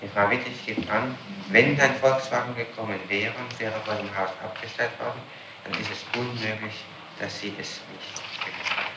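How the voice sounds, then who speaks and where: A man speaking as a prosecutor in a formal interrogation, pressing his questions in a halting, insistent way. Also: fairly low pitch, fairly even intonation. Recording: noisy, echoey archival interrogation tape.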